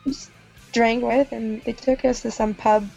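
A woman's voice: on the high side, little pitch movement, measured pace, creaky, dark tone, faint music behind, rough recording. A young woman guest host on a comedy podcast, hesitant and laughing things off as she tells stories. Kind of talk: casual chat.

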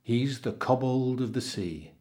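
A man voicing a kindly, fat ship's cook in a storybook, explaining things in a reassuring, unhurried manner. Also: mid-range voice, expressive, darkish tone, natural voice.

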